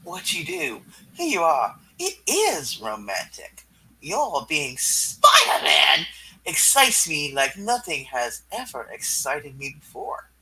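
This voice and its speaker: A flirtatious, thrill-seeking female cat burglar performed by a man in a comic book reading. The delivery is slow and teasing, with purring, lively swings of pitch. The voice is bright, over faint room noise. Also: full character voice, rough recording. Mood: adoring, excited.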